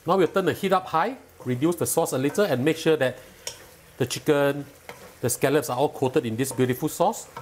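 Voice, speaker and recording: Calm man teaching a home recipe. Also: a little high, a little fast, slight background noise. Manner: calm, patient and instructive, enthusiastic when tasting. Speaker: cooking show host. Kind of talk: step-by-step cooking instruction. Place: home kitchen, indoors.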